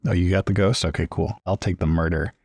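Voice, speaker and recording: Very deep-voiced man telling improvised tales. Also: fairly even intonation, fast, darkish tone, breathy edge, no room sound, clean studio sound. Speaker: tabletop role-player. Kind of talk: improvised in-character storytelling, conversational.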